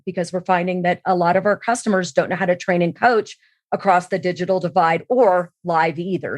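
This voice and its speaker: A woman's voice: on the low side, no echo. Woman explaining in conversation, close dry recording. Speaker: podcast guest, sales trainer and keynote speaker. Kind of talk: conversational expert interview.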